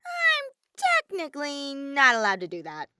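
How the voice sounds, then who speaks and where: A woman's voice: sits high, wildly swooping pitch, a little slow, heavy background noise, voice pushed up, full character voice. A woman voicing a mischievous, flippant robotic bear villain in a dramatic audiobook reading, theatrical, grumbling and cartoonish. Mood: dejected. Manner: matter-of-fact, theatrical, grumbling.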